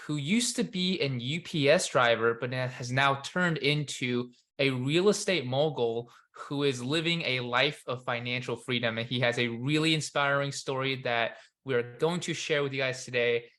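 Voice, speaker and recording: An upbeat young man hosts an interview show and welcomes his guest with enthusiasm. His voice is bright and forceful, and he speaks into a studio condenser mic. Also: medium pitch, moderate pace, hardly any pauses. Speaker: podcast host. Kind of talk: interview host intro.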